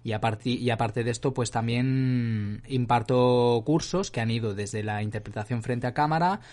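A Spanish man of thirty with a voice of average pitch. He talks in an animated, jokey manner as a podcast co-host and often fills pauses with "eh". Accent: Spain. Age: 30s. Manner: animated, chatty, joking, fillers. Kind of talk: casual chat.

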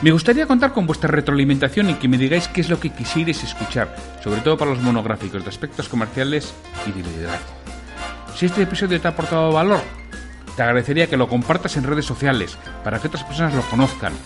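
A man's voice: mid-range voice, big pitch swings, quick pace, music in the background. Guy hosting his own podcast, opinionated, talks right at the listener. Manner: opinionated, asks listeners questions directly. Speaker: podcast host. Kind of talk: solo podcast commentary.

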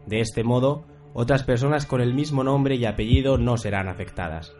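A man's voice: mid-range voice, moderate pace, darkish tone, natural voice. A man playing a grave, formal voice reciting a cursed book's deadly rules in a radio drama. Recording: music behind the voice.

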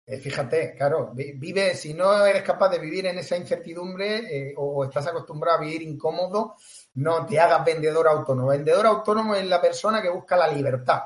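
A man's voice: a little high, brisk, echoey room. A man from Catalonia with a Murcian-tinged Spanish accent, a sales coach talking as a guest in an interview, emphatic and fond of repeating phrases. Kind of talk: podcast interview.

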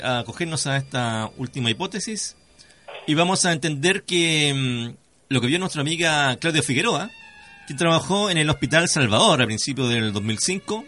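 A man's voice: a little high, sharp tone. A man with a Chilean accent hosting a conversational radio interview, speaking formally and courteously with some hesitation. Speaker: radio host.

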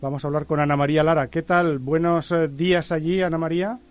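A man's voice: fairly high pitch, flat delivery, fast, faint music behind. A man with a Spanish accent from Spain presenting live radio as host.

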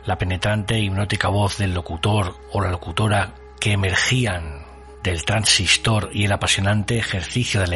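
A man hosting a radio show with scripted, atmospheric narration, solemn and deliberately phrased with dramatic pauses. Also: sits low, bright, forceful tone.

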